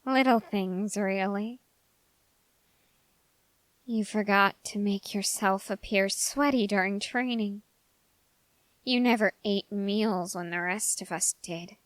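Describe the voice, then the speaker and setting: A woman voicing an ambitious, dark-hearted young man in an ASMR roleplay, speaking in formal, halting phrases with drawn-out pauses. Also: medium pitch, no room sound, lightly acted. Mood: hushed, longing. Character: ambitious, dark-hearted young male human bargaining with a demon.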